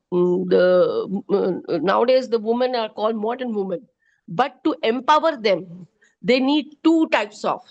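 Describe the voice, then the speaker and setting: A middle-aged woman with an Indian accent, a poet and educator answering interview questions earnestly, often pausing with fillers. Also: mid-range voice. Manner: earnest, hesitant with frequent fillers.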